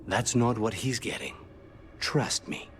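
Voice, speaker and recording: Brooding deadpan assassin in a video game, low voice w lots of rise and fall, music behind. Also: male voice, slow pace, slight background noise, heavily acted. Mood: dry, wary. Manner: dry, understated, deadpan.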